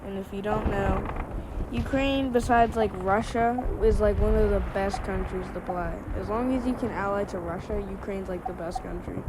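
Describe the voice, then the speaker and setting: A woman giving a rambling gameplay commentary voice-over, slightly hoarse from a winter cold and full of fillers and restarts. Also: medium pitch, very noisy. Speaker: gameplay video creator.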